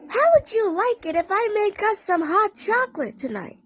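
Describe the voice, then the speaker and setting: Woman as a high-voiced, confiding cartoon cat. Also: big pitch swings, normal speed. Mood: friendly. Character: female cartoon pillow cat, confiding, uneasy friend. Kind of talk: fan audio play dialogue.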